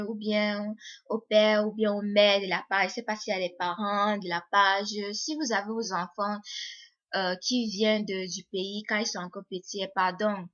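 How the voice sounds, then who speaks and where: A woman's voice: medium pitch, fairly bright tone. A young woman, vlogging to her viewers in a hesitant way, often repeating words and restarting. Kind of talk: casual monologue to viewers. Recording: slightly muffled lo-fi audio.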